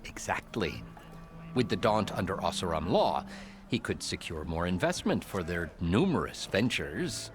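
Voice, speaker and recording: Exasperated, articulate low-voiced official, animated delivery. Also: male voice, a little slow, faint music behind. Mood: dry, explanatory. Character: exasperated male official opposing a troublesome rival. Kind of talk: scripted video game dialogue.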